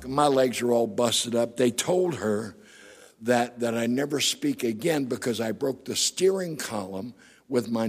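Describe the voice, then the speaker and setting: A middle-aged male pastor preaches with a raspy, gravelly voice and a husky edge. He drives his sermon forward with emphatic rhetorical questions to the congregation. His voice echoes with a long reverb through a church sanctuary. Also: medium pitch, a little mellow.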